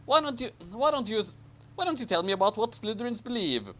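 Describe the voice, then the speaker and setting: A man's voice: sits high, big pitch swings, fairly quick, a bit bright, voice pushed up. A man voicing an innocent, polite young boy with an earnest, questioning manner in a story reading. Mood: curious.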